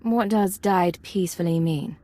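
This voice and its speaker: A woman playing a cool, probing secret agent in a video game, composed, dry and measured. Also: lowish voice, mellow, close to an everyday voice. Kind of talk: cinematic video game dialogue.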